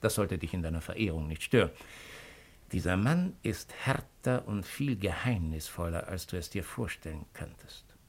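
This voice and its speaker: An actor playing a shrewd, cynical imperial agent of ancient Rome in a 1950s German radio play, with a mocking, deliberate, rhetorical delivery. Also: male voice, low voice, lively intonation, creaky, slightly rough, exaggerated voice. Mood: knowing, warning.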